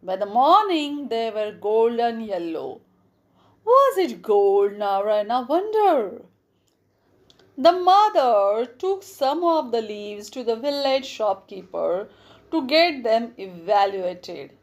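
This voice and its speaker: A woman reads a children's tale in a fairly high voice. Her delivery is slow and deliberate, with lively rises and falls suited to bedtime storytelling. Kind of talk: scripted bedtime story narration.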